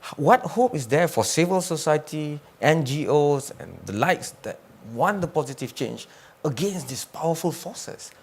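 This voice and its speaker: A man's voice: fairly high pitch, expressive, moderate pace, breathy edge. A man moderating a panel discussion, asking long, probing questions. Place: conference panel stage.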